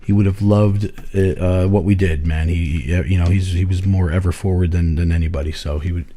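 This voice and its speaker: Hoarse, rambling male podcast co-host. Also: sits low, fairly even intonation, brisk, dark tone. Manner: rambling storyteller, swears freely, laughs often. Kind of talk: casual chat.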